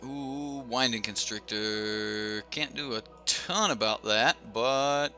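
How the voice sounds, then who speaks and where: A man's voice: medium pitch, takes it slowly, bright, forceful tone, soft background music. A young man casually commentating his own card game on a live stream, thinking aloud with lots of fillers and restarts.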